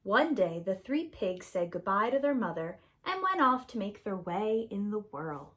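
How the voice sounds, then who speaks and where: A woman's voice: medium pitch, big pitch swings, normal speed, a bit bright. A woman narrating a scripted children's story as a preschool teacher would.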